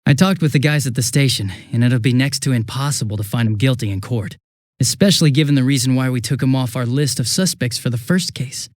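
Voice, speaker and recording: A man voicing a young police detective in a video game, affable and easily flustered, with a casual, hesitant and excitable way of talking. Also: mid-range voice, brisk, no room sound, clean studio sound, lightly acted. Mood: frank, serious. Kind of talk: English video game voice acting.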